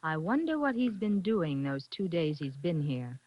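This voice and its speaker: A courteous, concerned aide in a 1940s radio play. Her pitch moves in a lively way. The vintage recording sounds dull. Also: a little slow, heavily acted.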